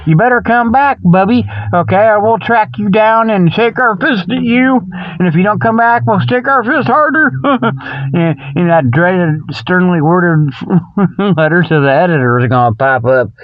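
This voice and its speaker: A man's voice: high voice, normal speed, no room sound, muffled recording. A man hosting a comic show solo, rambling in a folksy, colloquial way and often repeating himself as he thinks aloud. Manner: folksy, colloquial, with mock exasperation. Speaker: host of a comic Bigfoot show.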